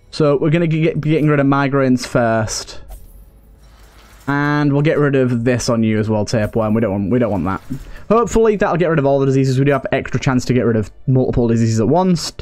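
A man's voice: mid-range voice, a little mellow. A man giving casual let's play commentary, thinking aloud and chatting to his game characters. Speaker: gaming video host. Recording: game music behind voice. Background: game music.

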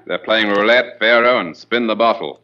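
An actor playing a gruff, suspicious Western sheriff in a 1940s radio comedy. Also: male voice, lowish voice, normal speed, close to an everyday voice. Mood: gruff, accusing. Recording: muffled, noisy 1940s radio broadcast.

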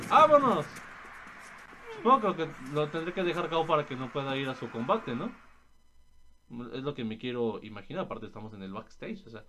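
A man's voice: on the high side, noisy background. A man with a Mexican accent giving casual gameplay commentary, slangy and full of swearing. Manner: slangy and profane. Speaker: gaming video host. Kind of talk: casual gameplay commentary, reading subtitles aloud.

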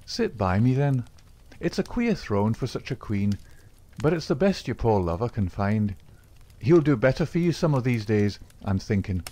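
A man's voice: medium pitch, sing-song, normal speed. A man doing a coaxing rogue. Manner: coaxing, reassuring, soft-spoken. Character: charming Irish secret-society man, smooth-talking lover.

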